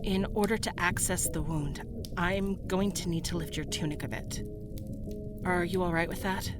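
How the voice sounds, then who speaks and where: Woman doing a caring but firm tavern lady for fantasy asmr, lowish voice, soothing, music playing. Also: moderate pace, natural voice. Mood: gentle, soothing. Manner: motherly, soothing, gently authoritative. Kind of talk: fantasy roleplay ASMR acting.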